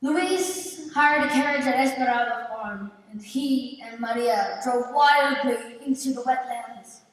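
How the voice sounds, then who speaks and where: Woman as a slow, solemn stage storyteller. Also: a little high, natural voice. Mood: suspenseful. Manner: measured, solemn, theatrical. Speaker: narrator. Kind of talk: scripted dramatic stage narration. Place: large indoor theater stage. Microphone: distant camera. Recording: echoey theater stage from far off.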